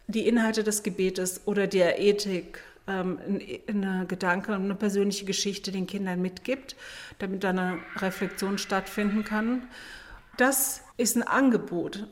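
A woman who runs youth camps talks in an interview, explaining things in a loose, unscripted way. She pauses to restart her sentences now and then. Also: mid-range voice. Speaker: youth camp organizer. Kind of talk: interview answers.

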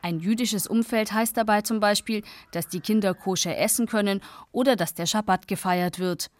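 A woman narrating a radio feature in a measured, scripted way. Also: mid-range voice, dry recording. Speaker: radio reporter.

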